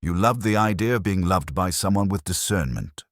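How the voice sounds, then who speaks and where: A man voicing a stern, unhurried older detective who speaks in formal, measured, clipped pronouncements, in an audiobook mystery. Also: deep voice, lively intonation, dry recording, clean studio sound. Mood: stern, unruffled.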